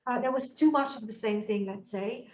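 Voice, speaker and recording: A woman's voice: fairly high pitch, moderate pace, a little mellow. Woman, Bulgarian accent, astrology teacher, thoughtful lecturing, frequent fillers, echoey room, somewhat muffled. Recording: echoey room, mic at a distance, dull sound.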